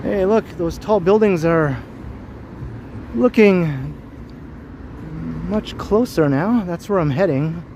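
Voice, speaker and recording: A man with a deep voice, chatting casually with viewers while walking a city street for a livestream. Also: slow, pauses often, a little mellow. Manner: chatty, greets viewers as he walks. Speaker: livestream host. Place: outdoors on a busy city street. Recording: faint street and traffic noise.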